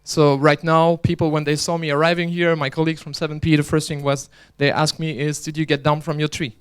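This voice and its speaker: Clear-voiced man giving a joking conference talk. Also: a little high, level tone, a little fast. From Belgium. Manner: chatty, joking, restarts often. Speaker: conference speaker and organizer. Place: conference hall.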